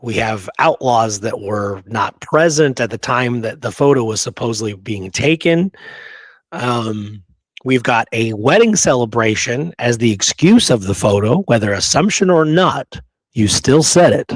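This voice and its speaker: A man's voice: medium pitch, lively intonation, a little slow, no room sound, low-quality recording. A man co-hosting a casual discussion, speaking emphatically and often checking in with short rhetorical questions. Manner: emphatic, rhetorical check-ins like "right?" and "okay?". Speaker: podcast co-host.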